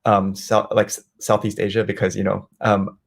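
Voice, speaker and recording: A man from Malaysia speaks over a video call with a low, gravelly voice. He presents at an easy pace, leaning on ums and likes as he explains his work. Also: moderate pace. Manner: relaxed, many ums and likes. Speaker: guest speaker, software engineer. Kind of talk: online meetup presentation.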